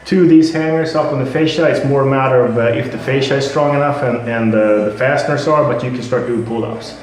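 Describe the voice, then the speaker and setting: A man with a Swedish accent, a product representative, explaining and demonstrating his product with halting speech, fillers and repeated words. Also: medium pitch, steady tone. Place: building site at a house. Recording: very echoey.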